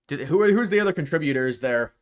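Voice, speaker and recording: A man's voice: on the high side, expressive, rapid-fire. A man hosting a live stream, commenting casually on clips and often stopping to restart and repeat words.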